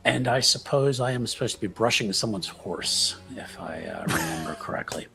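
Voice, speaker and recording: A man's voice: lowish voice, lively intonation, moderate pace, creaky, bright, forceful tone, soft background music, exaggerated voice. A man voicing a humble, pious servant in a tabletop game, speaking in a cautious, formal and hesitant manner. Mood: cautious, grave.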